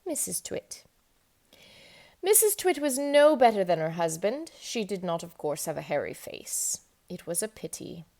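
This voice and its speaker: A woman reading a children's book aloud in an expressive, emphatic storytelling manner. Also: on the low side, unhurried, vocal fry. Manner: animated, emphatic storytelling with dramatic stresses. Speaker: storybook reader.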